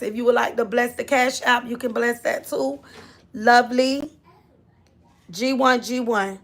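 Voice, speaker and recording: Gravelly high-voiced Southern woman streamer. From Florida. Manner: chatty, drawling, scolds her kids off-mic. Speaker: livestream host. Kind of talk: casual live chat.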